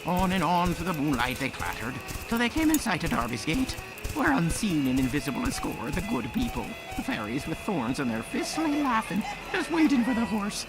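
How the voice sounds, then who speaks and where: A man's voice: on the high side, wildly swooping pitch, normal speed, over music, rough recording. A man playing a genial parish storyteller, telling a fireside tale theatrically. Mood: dramatic. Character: genial Irish parish storyteller, Mister Clancy.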